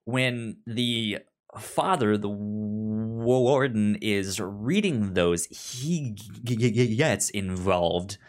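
Male podcast co-host, slow delivery, lowish pitch, halting and stammering, casual discussion tone. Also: faint music behind. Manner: halting, often stammering on words.

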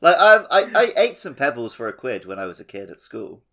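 A man's voice: medium pitch, big pitch swings, brisk, sharp tone. A man co-hosting a podcast, chatting casually and jokily about video games. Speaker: podcast co-host.

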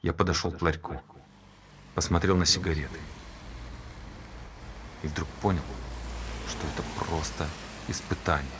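A man's voice: sits low, fairly even intonation, normal speed, pauses often, close to an everyday voice. An actor playing an agitated ex-smoker father, telling his ordeal as an intense theatrical monologue on a noisy stage recording. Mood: hushed, shaken. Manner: intense, dramatic storytelling.

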